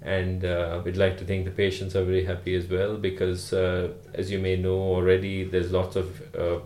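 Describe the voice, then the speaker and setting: A man from Sri Lanka, a rural GP, answering podcast interview questions in an unhurried way with many "uh" fillers. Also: sits low, monotone, a little mellow, reverberant, a little hiss or hum. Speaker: guest, rural GP.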